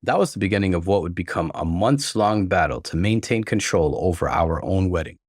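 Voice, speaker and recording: A man's voice: low-pitched, expressive, a little fast, no echo, studio quality. A man reading a scripted first-person relationship story as a narrator. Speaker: narrator reading online stories.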